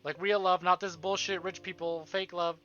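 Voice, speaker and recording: A man live-streaming a visual novel, reading it aloud and breaking into casual, expressive asides with swearing. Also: sits high, moderate pace, lo-fi sound. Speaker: game streamer. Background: game music.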